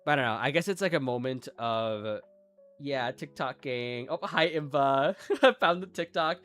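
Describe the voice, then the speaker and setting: A man's voice: medium pitch, moderate pace, fairly bright tone, faint music behind. A young man with a Midwestern American accent, rambling through a casual live-stream chat with lots of filler words. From Midwestern United States. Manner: rambling, chatty, frequent "like" fillers, self-deprecating.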